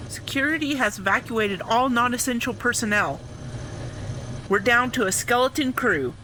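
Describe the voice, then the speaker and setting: A woman's voice: a little high, expressive, moderate pace, background noise, close to an everyday voice. An actress playing a tense, skeptical woman scientist in an audio drama. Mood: grim urgency. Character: tense, sharp female scientist, urgent and skeptical. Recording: loud background ambience under voice.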